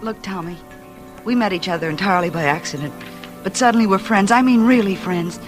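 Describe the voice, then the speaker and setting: A woman's voice: medium pitch, brisk, natural voice. A woman playing a wistful, secretive young woman in a doomed romance, earnest and hesitant in 1940s radio drama style. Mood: earnest, confiding. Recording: vintage radio recording with music underneath.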